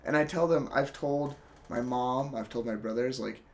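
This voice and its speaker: A man's voice: medium pitch, moderate pace, a little mellow, a little hiss or hum. A young man hosting a casual podcast, loose and profane, laughing often, his voice worn from a cough. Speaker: podcast host.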